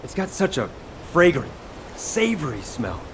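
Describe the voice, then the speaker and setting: A man playing an earnest soldier who loves cooking in a video game, expressive and a little hesitant. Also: fairly high pitch, a little slow, a little hiss or hum, poor audio. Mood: curious.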